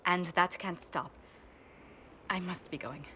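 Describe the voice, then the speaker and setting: An actress playing a poised, gracious noble diplomat in a game, speaking with polished formality. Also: female voice, fairly low pitch, takes it slowly, bright, forceful tone, a little hiss or hum. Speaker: ambassador and diplomat.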